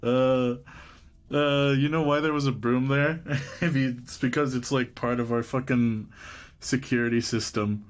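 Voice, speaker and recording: A man's voice: medium pitch, steady tone, a little slow. A man live streaming a game, chatting casually with some swearing and reading the on-screen text aloud. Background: game music.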